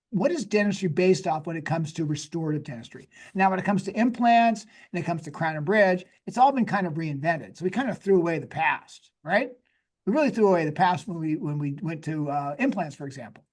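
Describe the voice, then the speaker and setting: A man with a slightly raspy, talk-worn voice, explaining technical matters conversationally as a guest expert, in an emphatic, folksy way with rhetorical questions. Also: high-pitched, animated, brisk, a little mellow. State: voice worn from days of talking. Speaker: guest expert, dentist and inventor.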